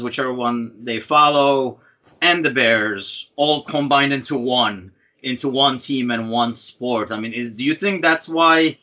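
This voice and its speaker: A man's voice: mid-range voice, pushed and bright, dull audio. A man originally from Turkey asking an interview guest questions in a casual, searching way. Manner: casual, searching, uses many fillers. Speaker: host.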